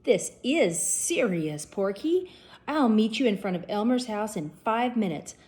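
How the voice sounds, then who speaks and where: A woman's voice: mid-range voice, animated, a little slow, a little mellow, natural voice. A woman voicing an anxious female cartoon pig, speaking urgently. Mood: worried.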